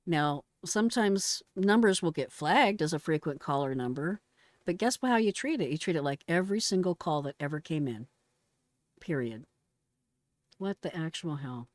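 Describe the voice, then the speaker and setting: A woman's voice: low-pitched, lively intonation, moderate pace, no echo, studio quality. A middle-aged American woman giving casual, blunt and exclamatory reaction commentary in slangy speech. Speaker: retired emergency dispatcher reacting to a call recording.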